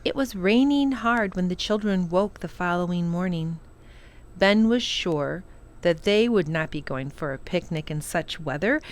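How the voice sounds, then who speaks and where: A woman reading a children's novel aloud in an unhurried, expressive storytelling manner. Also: lowish voice. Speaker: children's storytime reader, librarian.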